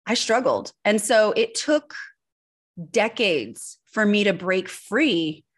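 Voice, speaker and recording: A woman's voice: medium pitch, slow. Earnest woman guest telling her story. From Switzerland, grew up in Northern California. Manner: earnest, confessional, steady storytelling. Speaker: guest, personal branding expert. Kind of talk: candid interview storytelling.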